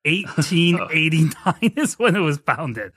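A man's voice: a little high, sing-song, a little slow, a bit bright, husky, dry recording, clean studio sound. A man in his forties hosting a podcast, telling a long story in a chatty, rambling way with restarts. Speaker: podcast host. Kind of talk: casual podcast chat.

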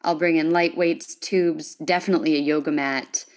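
A woman's voice: low voice, steady tone, normal speed, darkish tone. A woman hosting a podcast, speaking casually and chattily in a conversational interview. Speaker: podcast host.

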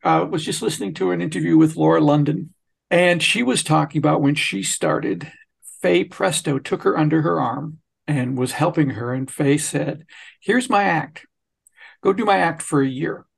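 A man's voice: fairly high pitch, normal speed, vocal fry, husky. A man hosting a conversational interview, linking anecdotes and putting questions to his guest. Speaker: podcast host interviewer.

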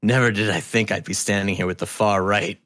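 A man talking casually as a podcast guest, telling anecdotes in an informal, halting way. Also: on the low side, steady tone, a bit bright, no echo. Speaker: podcast guest, audio drama creator. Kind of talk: casual conversational storytelling.

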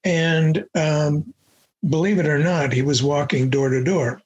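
Older man, husky and halting. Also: fairly high pitch, steady tone, darkish tone, muffled recording. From Los Angeles, United States. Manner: reflective, halting with many fillers. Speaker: guest, professor emeritus. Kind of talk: conversational interview.

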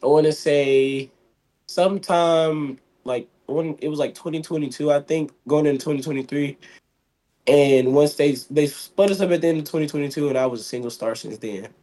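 A man's voice: fairly high pitch, normal speed, dark tone. A young man in his twenties from Mississippi with a light voice, talking in a rambling way as a wrestler being interviewed. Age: 20s. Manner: rambling, many fillers and restarts. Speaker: guest, pro wrestler. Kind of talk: casual interview.

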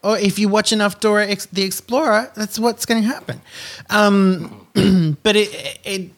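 A man chatting casually, talkative and animated, often breaking into laughter as he tells stories. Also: sits high, unhurried. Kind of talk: casual chat.

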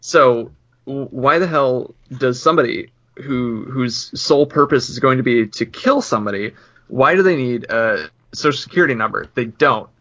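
Male podcast co-host, casual conversational delivery, sharp bright tone. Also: mid-range voice, normal speed. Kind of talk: casual discussion.

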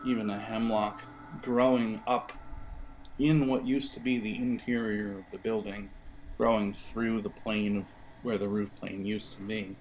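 A man running a tabletop game as game master, improvising scene descriptions in a hesitant, halting way. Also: fairly low pitch, little pitch movement, a little mellow, slight background noise, poor audio.

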